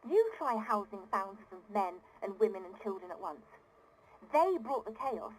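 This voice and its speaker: An actress playing an exasperated, earnest and privileged founder of an ocean city in a video game audio diary, speaking articulately and emphatically. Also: female voice, medium pitch, very animated, normal speed, a little hiss or hum, close to an everyday voice, rough recording. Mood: indignant, exasperated. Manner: indignant, articulate, emphatic, with weary sighs.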